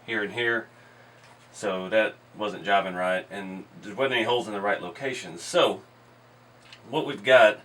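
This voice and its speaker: A hoarse man hosting a hobby channel, chatting casually while he works on a model build in his workshop. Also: fairly low pitch, far from the mic, a little hiss or hum. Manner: relaxed, explains while working with his hands. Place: indoor garage workshop.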